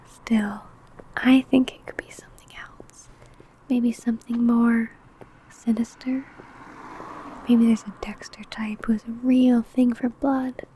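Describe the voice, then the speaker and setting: A woman playing a secretive, sly vampire coworker in an ASMR roleplay, chatting coyly to the listener in a hushed voice that drifts toward whispering. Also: on the high side, level tone, takes it slowly, a little hiss or hum, poor audio. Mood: sly, sinister. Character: secretive female vampire coworker, older senior, sly and possessive.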